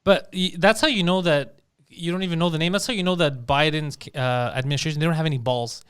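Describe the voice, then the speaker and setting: A man co-hosting a podcast in casual chat, telling stories in an excitable, profane way. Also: fairly high pitch, quick pace, smooth. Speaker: podcast co-host.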